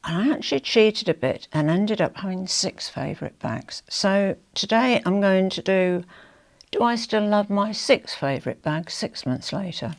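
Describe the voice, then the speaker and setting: An older woman with a croaky, hoarse throat, chatting casually to camera as a fashion vlogger, rambling with confiding asides. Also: medium pitch, lively intonation, moderate pace. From England.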